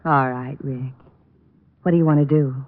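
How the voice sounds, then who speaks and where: A woman's voice: a bass voice, steady tone, moderate pace. A woman acting a detective's playful, affectionate girlfriend in an old radio drama. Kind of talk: 1940s-50s American radio acting. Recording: narrow, muffled old radio recording.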